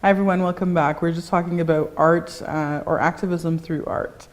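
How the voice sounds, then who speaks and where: A woman with a very low-pitched voice hosts a talk show interview. She rambles loosely, repeating phrases and leaning on fillers as she sets up questions for her guests. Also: steady tone, moderate pace, faint music behind. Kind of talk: casual interview hosting.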